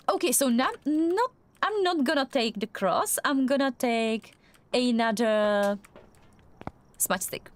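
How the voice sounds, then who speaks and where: A young woman with a Czech accent chatting casually while streaming a game, hesitant and full of fillers and restarts. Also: a little high. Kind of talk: casual chat while gaming.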